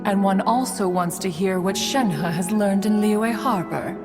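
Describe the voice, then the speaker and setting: A woman's voice: mid-range voice, measured pace, long reverb tail, music in the background, lightly acted. A woman voicing a dignified ancient female crane adeptus in a game, speaking formally and referring to herself as "one". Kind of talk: game voice acting.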